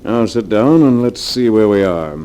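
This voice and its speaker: An actor in an old-time radio drama playing a ruthless, scheming rich businessman, smooth and coolly matter-of-fact. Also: on the low side, animated, moderate pace, noisy background, close to an everyday voice. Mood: suavely genial.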